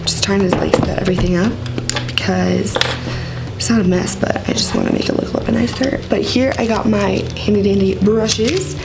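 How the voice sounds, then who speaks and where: Sick, raspy-voiced woman vlogger. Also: mid-range voice, fairly quick, loud music behind the voice, rough recording. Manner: rambling, filler-heavy, chatty. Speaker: beauty vlogger. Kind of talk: casual makeup tutorial.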